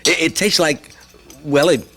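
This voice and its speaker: A man's voice: on the high side, unhurried, fairly bright tone. A man playing a friendly, eager young boarder in old-time radio drama, speaking in a hesitant, boyish, earnest way. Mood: warm, flustered. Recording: archival radio with faint background noise.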